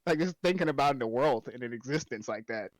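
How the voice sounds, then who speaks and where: A young man from Oklahoma with an Oklahoma accent, chatting casually as a stream guest, joking and musing in a relaxed way. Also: medium pitch, no echo. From Oklahoma, USA. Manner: laid-back, joking, drawn-out musing. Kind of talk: casual chat.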